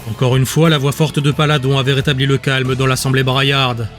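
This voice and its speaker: A man's voice: medium pitch, little pitch movement, brisk, a bit bright. A man reading scripted fiction as an audiobook narrator. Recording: music bed behind the voice.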